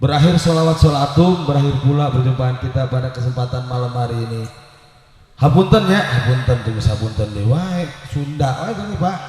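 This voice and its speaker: A man's voice: medium pitch, lively intonation, brisk, a little mellow. A man leading an Islamic gathering as its preacher, in praise, prayer and address to the congregation. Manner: apologetic asides to the audience when talking. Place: mosque event, over loudspeakers. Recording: very echoey hall, music behind.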